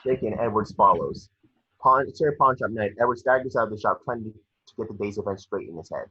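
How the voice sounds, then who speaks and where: Man as table-read narrator, husky and low, scripted action lines, muffled, close dry home audio. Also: brisk, pushed and bright, no room sound. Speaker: narrator reading action descriptions. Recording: dry, dull lo-fi home recording.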